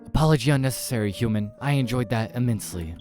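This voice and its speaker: A man voicing an imperious female eldritch being in a visual novel game, with a rough voice and stilted, formal, commanding speech. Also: mid-range voice, fast talker, a little mellow, soft background music, voice pushed up, natural voice. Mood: imperious, satisfied.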